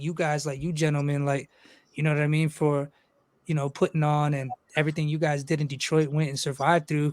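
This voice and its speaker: A man's voice: a little high, a little mellow, no room sound. A young man from Los Angeles, a rapper talking loosely in a casual interview, rambling and swearing freely. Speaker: guest rapper.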